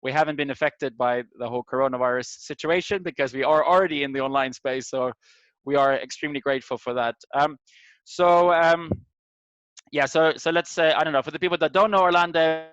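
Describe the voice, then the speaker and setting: A man's voice: on the high side, steady tone, a little fast, fairly bright tone, clear, resonant tone, dry recording. A middle-aged man from Sweden informally hosting an online group meeting, searching for his words as he welcomes and introduces people on a video call. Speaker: host of an online community meeting. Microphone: video call microphone. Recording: dull, lo-fi video call audio.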